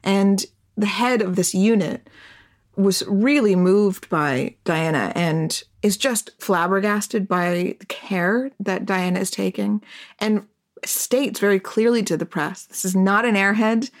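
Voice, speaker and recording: A woman's voice: mid-range voice, slow pace. A woman on a podcast who speaks in an earnest, articulate and emphatic way while explaining historical background. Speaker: podcast guest.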